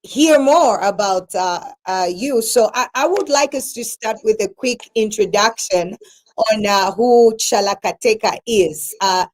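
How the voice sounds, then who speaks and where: A woman hosting a radio program, asking questions with many pauses and fillers. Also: mid-range voice. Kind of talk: interview hosting.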